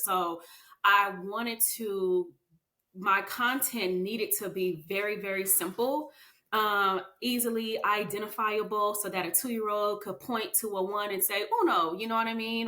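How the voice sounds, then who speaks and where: A woman talking casually as a podcast guest, chatty, with many fillers and "you know what I mean" asides. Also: bright, forceful tone. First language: English. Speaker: podcast guest, children's book author. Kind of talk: casual interview chat. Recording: echoey room with faint rumble.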